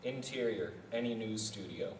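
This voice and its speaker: A man's voice: fairly low pitch, moderate pace, a little mellow, recorded from a distance. Guy reading screenplay directions plainly, echoey room, faint noise. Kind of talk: scripted narration, live table read. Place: festival venue room.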